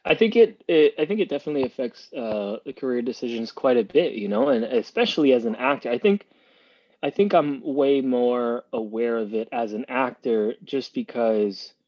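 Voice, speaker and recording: A man's voice: medium pitch, animated, moderate pace, no room sound. Cuban-born man rambling on a muffled line. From Cuba. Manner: rambling, many restarts and fillers. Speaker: podcast guest. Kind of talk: casual interview talk.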